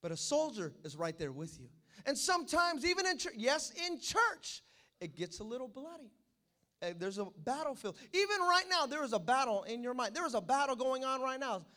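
A man preaching a sermon as pastor, animated, addressing the congregation with call-and-response asides. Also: very high-pitched, fairly quick.

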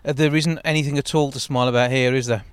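A man hosting a radio show, asking steady, measured questions in a studio. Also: mid-range voice, clean tone, no echo. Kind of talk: radio interview.

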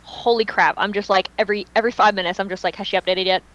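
A woman's voice: medium pitch, fairly even intonation, rapid-fire, fairly bright tone, highs cut off. A woman chatting casually as a fan podcast panelist, speaking in a gushing, emphatic and dramatic way.

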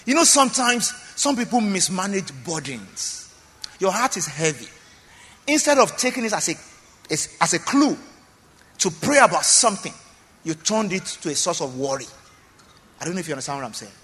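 Pastor, man, high and forceful, emphatic sermon delivery in a reverberant church hall. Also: very animated, moderate pace, long pauses. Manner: emphatic preaching with repeated phrases and questions to the congregation.

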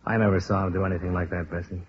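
Low-voiced hard-boiled American investigator, played by a man. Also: little pitch movement, rapid-fire, a little hiss or hum, lightly acted. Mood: gentle, steady. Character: tough, world-weary male insurance investigator, sympathetic underneath. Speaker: lead actor and narrator. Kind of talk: 1950s radio detective drama acting, first-person narration. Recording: dull vintage radio recording with music.

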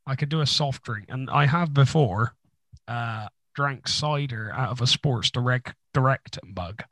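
A man co-hosting a casual podcast, his voice gravelly with a crackly edge and husky after a recent illness. He rambles along with deadpan jokes. The sound is dry and close, with no room around it. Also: mid-range voice, a little slow, no echo. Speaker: podcast co-host. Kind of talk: casual chat.

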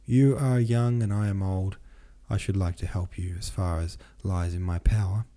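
A man reading a novel aloud as a stern, paternal old Freemason, speaking formally and deliberately. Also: deep voice, fairly even intonation, warm tone, close to an everyday voice. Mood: earnest, paternal.

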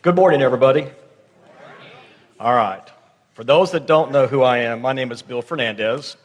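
A man's voice: medium pitch, normal speed, slight background noise. A middle-aged man giving an informal, folksy talk to a congregation, often checking in with his listeners. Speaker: guest speaker at church. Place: large hall, church service. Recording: echoey hall with long reverb.

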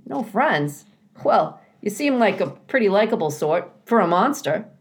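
A woman voicing a folksy, bossy but kindly male beaver builder in a children's storybook, chatty and gruff in manner. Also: mid-range voice, very animated, measured pace, pitched above the performer's own voice. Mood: folksy, teasing.

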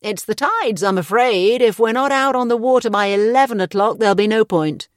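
A man's voice: high voice, brisk, no echo, clean studio sound, lightly acted. A woman reading the lines of a spiteful middle-aged man who taunts with sarcasm. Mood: brisk.

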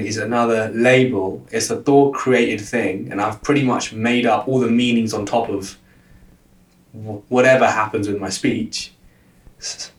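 Young man, stutter with lots of repeats, recorded off-mic in an echoey room. Guest coach telling stories. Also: mid-range voice. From lives in London. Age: young adult. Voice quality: stutter, frequent word repetitions. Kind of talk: casual interview talk. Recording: roomy, distant from the mic.

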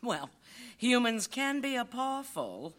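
A reassuring, motherly female dog acted by a woman in a comic radio play. Her voice is bright and crisp-edged, with animated pitch as she soothes and mentors. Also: slow pace, heavily acted. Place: live stage performance at an audio theatre festival.

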